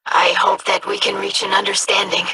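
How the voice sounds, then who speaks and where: A woman's voice: medium pitch, flat delivery, normal speed, pushed and bright, slight background noise, close to an everyday voice. An actress voicing an earnest young alien woman in a video game, speaking in formal, precise sentences.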